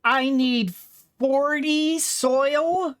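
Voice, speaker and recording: A man giving casual let's play commentary, rambling and thinking out loud as he goes. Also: very high-pitched, steady tone, very slow, no room sound, studio quality. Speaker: gaming video host.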